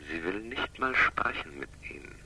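An actor playing a suave, menacing criminal in a 1960s German radio crime drama, raspy and ironically polite. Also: male voice, sits low, lively intonation, moderate pace, background noise. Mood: suavely threatening. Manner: smooth-talking, ironic, condescending.

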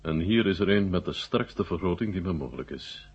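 A dull vintage radio recording carries a very deep male voice. a proud, commanding Soviet marshal with a husky edge. He speaks formally and with measured authority, all business. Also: expressive, heavily acted. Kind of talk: 1970 Dutch radio drama acting.

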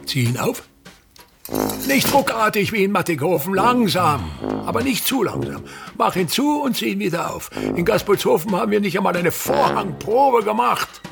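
An actor playing a vain, tyrannical old touring actor and patriarch in a radio drama, declaiming and commanding in a pompous manner. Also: male voice, high-pitched, gravelly, exaggerated voice. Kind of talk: theatrical radio drama monologue.

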